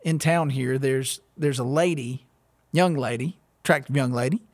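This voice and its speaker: A man's voice: mid-range voice, moderate pace, no room sound. A man in his thirties, hosting a casual podcast chat with jokes and many restarts. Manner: joking, talks through ideas with restarts. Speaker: podcast host.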